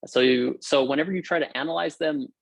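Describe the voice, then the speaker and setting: A man's voice: a little high, fairly even intonation, quick pace, gravelly, dry recording, low-quality recording. A young man from Hawaii giving an upbeat, informal technical talk over a video call, with frequent fillers and restarts. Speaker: guest lecturer. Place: room at home or office.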